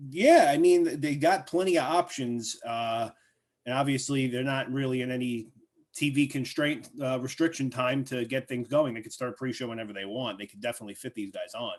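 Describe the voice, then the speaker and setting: Laid-back man hedging his takes. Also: mid-range voice. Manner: measured, hedging with "I mean" and "um". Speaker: podcast co-host. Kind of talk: casual wrestling chat.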